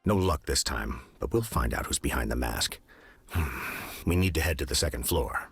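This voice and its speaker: Casting: grave, observant game detective, extremely low voice with lively intonation, measured delivery. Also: male voice, soft background music. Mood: determined. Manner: measured, serious. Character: composed, grave male detective, observant and serious. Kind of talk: video game cutscene acting.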